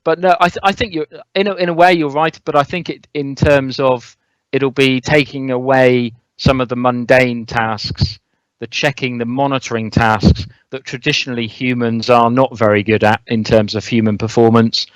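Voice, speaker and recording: A man with a smooth, clean voice and very even intonation, recorded close and dry. He talks as an expert guest, explaining in a measured, conversational way. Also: medium pitch, no room sound, low-quality recording. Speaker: air traffic controller, interview guest.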